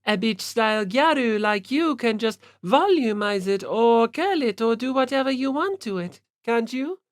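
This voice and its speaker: A man's voice: very high-pitched, expressive, normal speed, no echo, clean studio sound, pitched above the performer's own voice. A man voicing a haughty, refined gothic teenage girl in an audiobook, with a prim, condescending manner.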